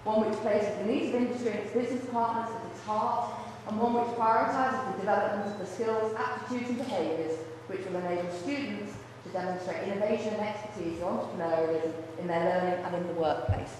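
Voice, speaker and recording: A woman, a college vice principal giving a brisk, businesslike presentation to a room without a microphone. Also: medium pitch, steady tone, darkish tone. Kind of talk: presentation to a luncheon audience. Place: large echoey function room. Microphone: far room microphone. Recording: echoey hall from far off, faint noise.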